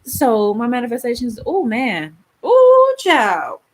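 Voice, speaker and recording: A woman's voice: a little high, wildly swooping pitch, slow pace. A young woman from Baltimore with a Baltimore accent, hosting a casual live stream with rambling, laughing talk and lots of fillers. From Baltimore, Maryland. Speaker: livestream host.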